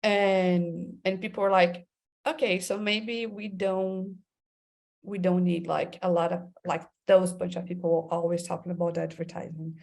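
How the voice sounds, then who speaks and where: A woman's voice: lowish voice, little pitch movement, a little slow, gravelly, a little mellow. Brazilian woman talking with many restarts. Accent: Brazilian Portuguese. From Brazil, Amazon region. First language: Portuguese. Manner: thoughtful, many fillers and restarts. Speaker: creative director and club president. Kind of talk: interview guest.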